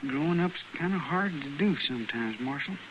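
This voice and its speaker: A man's voice: fairly high pitch, animated, a little fast, close to an everyday voice. An actor playing an earnest, wistful frontier boy in a radio western, with a hesitant rural Western American drawl. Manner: hesitant, plain-spoken folksy drawl. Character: earnest frontier boy, nearly grown, folksy, wistful dreamer. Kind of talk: old-time radio western acting. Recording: dull, lightly noisy old radio recording.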